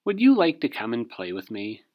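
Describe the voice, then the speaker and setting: A man's voice: medium pitch, huge pitch swings, normal speed, darkish tone. A man voicing a friendly, easygoing young boy in a storybook read-aloud. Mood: inviting.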